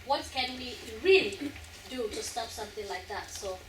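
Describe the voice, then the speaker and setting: A woman's voice: fairly high pitch, expressive, moderate pace. Earnest young woman in an echoey hall. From Africa. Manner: earnest, explaining at length with repeated restarts. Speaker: playwright and actress answering audience questions. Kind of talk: post-show question and answer. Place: college theater hall. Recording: echoey hall, far from the mic, noisy.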